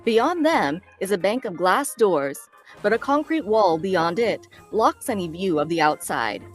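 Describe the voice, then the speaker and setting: Casting: woman narrator for script read, medium register, expressive range, music underneath. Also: medium pitch, a little slow. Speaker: narrator reading stage directions.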